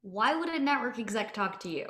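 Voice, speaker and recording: A woman's voice: a little high, animated, a little fast, fairly bright tone. A woman playing a blunt, exasperated thirty-year-old ex-girlfriend at a casual comedy table read.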